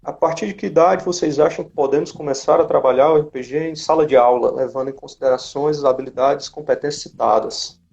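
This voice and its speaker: A man's voice: a little high, steady tone, fast talker, gravelly, hardly any pauses, a little mellow. A man with a Brazilian accent hosting a casual live chat, commenting and reading out questions. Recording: dull lo-fi livestream audio.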